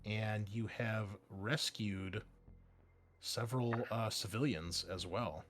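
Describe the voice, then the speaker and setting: A man's voice: on the low side, takes it slowly, a bit bright. A man speaking informally and conversationally as game master, narrating and refereeing a tabletop roleplaying game.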